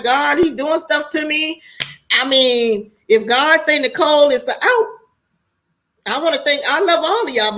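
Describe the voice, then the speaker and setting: A woman in her late forties with an American accent hosts a casual online monologue. Her voice is high-pitched and bright with a forceful edge. She talks straight to viewers in a candid, preachy, colloquial way and swears freely. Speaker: online show host talking to viewers.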